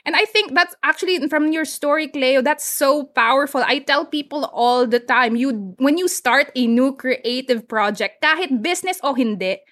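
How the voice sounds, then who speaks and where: A woman's voice: high-pitched, moderate pace, few pauses, a bit bright. A woman from the Philippines with a Filipino accent, chatting as a podcast guest, giving encouraging advice and mixing Tagalog words into her English. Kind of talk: casual conversation.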